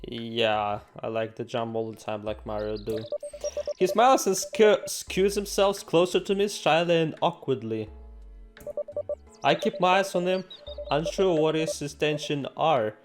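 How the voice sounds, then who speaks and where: Male gaming host, higher-pitched, theatrical and jokey, reading game text with improvised reactions, music behind. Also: a little slow.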